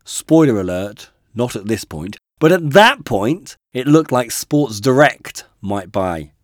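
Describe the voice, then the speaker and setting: A man co-hosting a podcast, hoarse from a cold, chatting in a dry, wry, self-mocking way. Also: mid-range voice, big pitch swings, takes it slowly, no echo. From United Kingdom. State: hoarse from a cold, voice deeper than usual. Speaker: podcast co-host. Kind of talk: casual chat.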